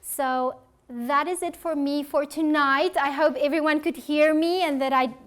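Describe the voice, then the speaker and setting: A woman's voice: high-pitched, steady tone, a little slow, a bit bright. A woman with a South African accent, an audiologist giving a health talk and answering audience questions in an earnest, reassuring way. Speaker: audiologist presenter.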